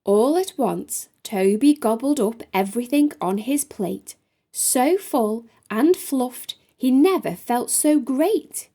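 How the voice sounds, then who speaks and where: High, mellow woman reading a children's story. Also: expressive, slow. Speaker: storytime reader. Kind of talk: children's picture book read-aloud in rhyme.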